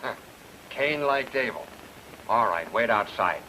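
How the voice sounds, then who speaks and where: An old, slightly noisy radio drama recording of a gruff police chief. His voice is forceful and swings widely in pitch, with terse, sardonic questioning. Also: lively intonation, unhurried. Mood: interrogating, sardonic. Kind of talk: old-time radio detective drama acting.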